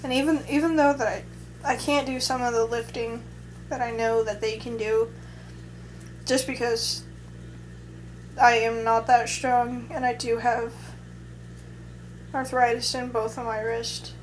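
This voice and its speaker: A woman's voice: fairly high pitch, level tone, unhurried, pauses often, slight background noise, low-quality recording. A young adult woman vlogger in casual chat, talking in a hesitant, rambling way with many restarts.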